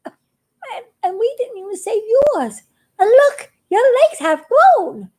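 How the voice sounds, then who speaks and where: A chorus of small, meek toads, as a woman puts them on speaking in a high, earnest voice. Their thanks come out lifted and lively, the pitch bouncing about. It sounds like a homemade bedtime storybook. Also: slow, heavily acted. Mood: grateful. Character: little toads, grateful and meek.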